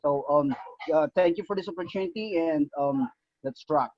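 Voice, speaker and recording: Man from the philippines, hesitant, earnest, slightly high voice, dull dry call audio. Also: normal speed, no echo. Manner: hesitant, many fillers, earnest. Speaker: team leader on a call. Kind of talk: halting speech to a group. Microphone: video call.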